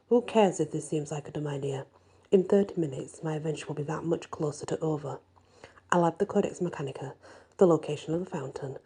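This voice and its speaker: A woman reads a first-person fantasy story in an ASMR style, her voice soft, husky and breathy. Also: low voice. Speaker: audiobook-style story reader.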